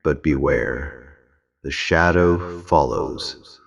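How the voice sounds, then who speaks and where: A man's voice: a bass voice, creaky, lo-fi sound, full character voice. A man voicing an ancient, solemn temple voice. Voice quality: solemn, measured pronouncements. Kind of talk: audio drama.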